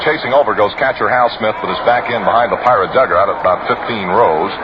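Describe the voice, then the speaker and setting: Male American baseball play-by-play announcer, 1960s radio, measured and descriptive, dull lo-fi sound under heavy noise. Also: on the low side. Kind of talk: live 1960s radio sports commentary. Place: outdoor ballpark broadcast. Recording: noisy, band-limited vintage 1960 radio broadcast.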